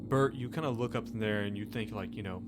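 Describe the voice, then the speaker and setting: A man running a tabletop game, improvising the narration haltingly and repeating himself for suspense. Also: on the low side. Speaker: game master.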